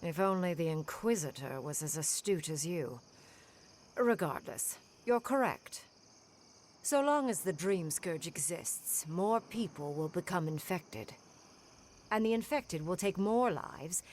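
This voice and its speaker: An actress playing a commanding, coldly resolute female zealot in a fantasy game, measured and authoritative. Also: on the low side, expressive, darkish tone, slight background noise. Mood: cool, certain. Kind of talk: fantasy game cutscene acting.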